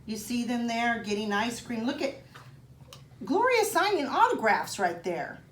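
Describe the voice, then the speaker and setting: A woman reading a children's picture book aloud in an expressive, child-directed way, with chatty asides to young listeners. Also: fairly high pitch, a little slow. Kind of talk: animated storybook read-aloud for children with casual asides. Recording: roomy echo, some background noise.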